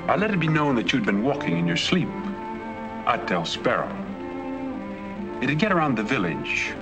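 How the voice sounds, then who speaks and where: An actor playing a middle-aged, wealthy American husband, genial with a sly menace, in 1940s film noir style. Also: male voice, mid-range voice, very animated, normal speed, natural voice. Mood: slyly menacing. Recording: 1940s film soundtrack with background music.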